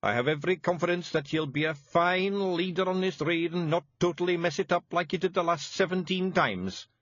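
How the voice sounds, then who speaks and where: A man's voice: sits high, brisk, a bit bright, no room sound, dull audio, pitched above the performer's own voice. A man voicing a bossy little fairy-man clan leader in a broad Scottish accent, blustering and commanding. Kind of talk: audiobook character voice.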